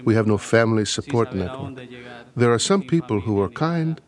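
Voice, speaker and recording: A man reading an interpreter's voice-over in a plain, steady way. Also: fairly low pitch, normal speed, crowd noise. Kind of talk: read voice-over translation.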